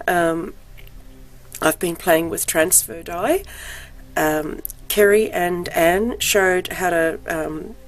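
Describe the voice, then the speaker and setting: Croaky-voiced woman chatting about crafts. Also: fairly low pitch, slow pace. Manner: chatty, frequent ums, self-deprecating. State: croaky voice from illness. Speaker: crafting vlogger. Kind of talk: casual show-and-tell.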